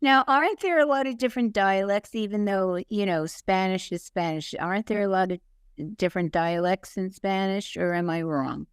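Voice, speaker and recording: Jersey woman cohosting a podcast, clear smooth voice, dry sound. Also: mid-range voice, moderate pace, no echo. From New Jersey. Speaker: podcast co-host. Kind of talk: casual chat.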